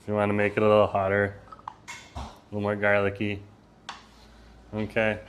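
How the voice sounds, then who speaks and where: A man's voice: completely flat delivery, moderate pace, slight background noise. A man casually chatting on a live stream from a Vietnamese restaurant, talking easily, reading chat messages aloud and laughing often. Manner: says he has a loud voice and lowers it. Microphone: phone.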